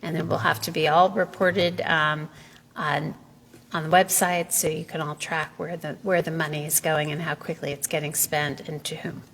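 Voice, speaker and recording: A woman official explaining a city process in a measured way, with many pauses and fillers, in a public meeting hall. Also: low voice, level tone, a bit bright. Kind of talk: explanatory presentation. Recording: reverberant hall.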